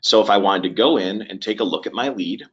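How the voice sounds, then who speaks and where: A man presenting a software webinar walkthrough in a conversational, halting way with frequent pauses. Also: fairly low pitch. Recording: dull, lo-fi webinar audio.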